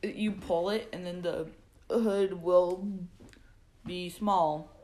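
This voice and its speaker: A woman co-hosting a podcast, casually bantering and giving flat denials. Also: on the low side, drawn-out words. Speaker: podcast co-host. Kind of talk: casual joking chat.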